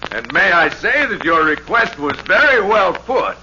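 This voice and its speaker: Pompous formal judge, high voice, declaiming, noisy old radio comedy. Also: male voice, big pitch swings, measured pace, dull audio. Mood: gracious, flattering. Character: formal, officious courtroom judge, fawning and orotund. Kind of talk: 1940s radio comedy acting.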